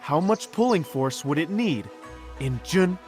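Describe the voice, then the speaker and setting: An actor playing a proud but self-doubting tinkerer in a video game, hesitant and easily flustered. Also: male voice, a little high, animated, measured pace, a little mellow, faint music behind. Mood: determined. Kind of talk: video game character acting.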